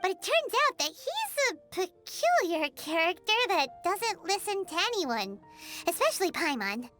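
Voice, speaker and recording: A woman voicing a tiny, childlike fairy companion in a video game, squeaky, chirpy and blunt. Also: big pitch swings, a little slow, fairly bright tone, faint music behind, exaggerated voice. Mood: puzzled, earnest. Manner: squeaky, childlike, refers to self in third person. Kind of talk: scripted video game character acting.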